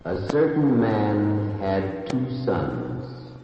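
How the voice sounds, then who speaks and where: An actor playing a solemn, majestic holy Master reciting a scriptural parable in a radio drama. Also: male voice, lowish voice, sing-song, extremely slow, over music, full character voice. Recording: cavernous long reverb, dull radio recording.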